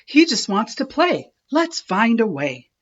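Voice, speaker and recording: An over-excited little boy shark from a children's storybook, acted out by a woman. The voice is eager and exclaiming, raised high and swinging widely in pitch. The sound is slightly dull and lo-fi. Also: unhurried, slightly rough, heavily acted. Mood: earnest. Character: exuberant, loud young boy shark, eager and well-meaning.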